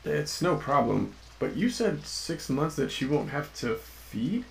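A man's voice: on the high side, sing-song, unhurried, creaky, a little hiss or hum, full character voice. A man playing a young, curious and pushy new hire in an audio drama, blunt and questioning. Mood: curious, insistent.

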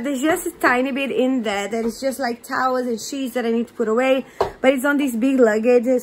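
Casual female vlogger, young, Brazilian accent, native Portuguese speaker, high register, talking into a phone at home. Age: young adult. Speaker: daily vlogger and mother. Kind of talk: casual chat to camera. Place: indoors at home, kitchen and living room. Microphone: phone at arm's length. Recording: noisy home with appliance and child sounds.

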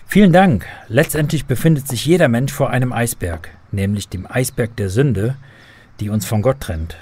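Husky, earnest man acted in radio drama. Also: mid-range voice, expressive, normal speed, a little mellow. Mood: earnest, patient. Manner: earnest, explanatory. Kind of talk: scripted children's radio drama.

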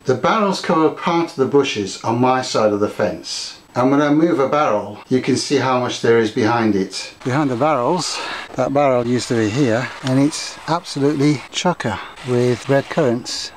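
A man's voice: mid-range voice, normal speed, reverberant, slight background noise. An older man chatting to camera about his garden, telling a story and gesturing with his hands. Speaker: gardening vlogger. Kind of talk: casual talk to camera. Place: home conservatory, indoors.

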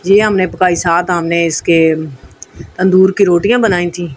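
A woman's voice: fairly low pitch, fairly even intonation, normal speed. A woman from Pakistan with a Pakistani accent narrates a casual vlog voice-over, chatting directly to her viewers. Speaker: vlogger. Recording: noticeable background noise.

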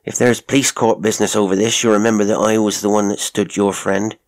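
A man's voice: lowish voice, flat delivery, quick pace, voice pushed up, lightly acted. A man voicing a gaunt servant woman in an audiobook, deferential and plain-spoken, with rustic turns of phrase. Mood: earnest, knowing. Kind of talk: audiobook character acting.

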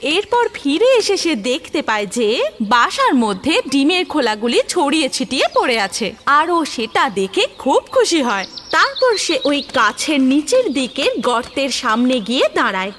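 Animated, high-voiced woman storyteller. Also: a little slow, a little hiss or hum. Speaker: storyteller, narrator. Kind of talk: scripted children's fairy-tale narration. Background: music.

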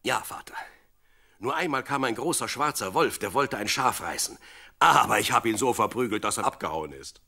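A man's voice: mid-range voice, normal speed, exaggerated voice. An actor in a radio play voicing a dreamy, plucky young shepherd boy who muses aloud expressively. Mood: friendly. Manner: expressive, musing aloud to himself and animals. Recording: clear outdoor animal noise behind. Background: sheep, birds, outdoor ambience.

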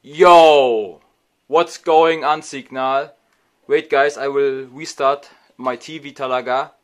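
A young man from Germany with a German accent, talking in an excitable, casual vlog style from a small room, close to the camera. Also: medium pitch, slow. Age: young adult. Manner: excitable, mixes in Tagalog words. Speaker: vlogger.

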